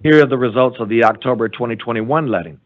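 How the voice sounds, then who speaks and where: A man gives a formal report at a board meeting, reading steadily from his slides. His voice sits in an ordinary middle pitch. The sound is thin and tinny, like a phone line. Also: fast. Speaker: transportation department director presenting a report.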